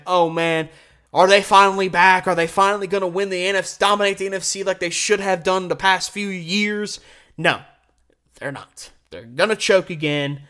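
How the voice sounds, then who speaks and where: Higher-pitched man hosting a sports show. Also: sharp tone. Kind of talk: live sports commentary with game picks.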